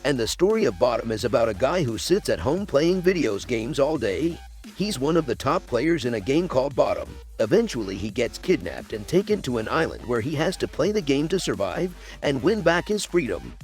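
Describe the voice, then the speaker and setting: Man narrating a countdown, lively pitch, music behind. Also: moderate pace. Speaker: video narrator and host.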